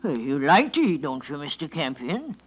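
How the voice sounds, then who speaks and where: An actor playing a nervous, curt, money-grubbing husband with rough, halting speech in a 1940s radio drama. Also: male voice, on the high side, very animated. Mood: panicky, covering up. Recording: dull noisy vintage radio recording.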